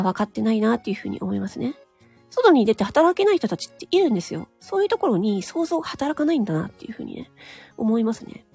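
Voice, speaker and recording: Husky-voiced woman, frank and chatty. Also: fairly high pitch, mellow. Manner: frank, chatty, full of nodding asides and tag particles. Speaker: solo audio broadcaster. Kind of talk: casual solo monologue.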